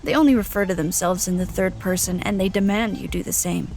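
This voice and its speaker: A woman's voice: lowish voice, gravelly, darkish tone, faint music behind. A woman playing a small, wary, practical human traveler, narrating and speaking in an audio drama. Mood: measured narration. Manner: hesitant, questioning in dialogue, measured when narrating. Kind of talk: audio drama first-person narration and dialogue.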